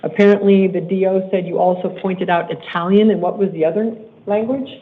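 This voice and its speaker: A man acting as judge in a court hearing. He speaks in a stern, measured way and repeats himself when he is pressing a point. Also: high-pitched, steady tone, slight background noise. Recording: tinny court livestream audio.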